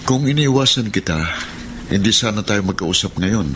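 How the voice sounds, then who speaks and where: Earnest low-voiced young man, played by an actor. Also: moderate pace, crowd noise, lightly acted. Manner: hesitant, sincere. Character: earnest, worried young working-class boyfriend. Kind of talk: radio drama acting. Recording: very noisy radio drama recording.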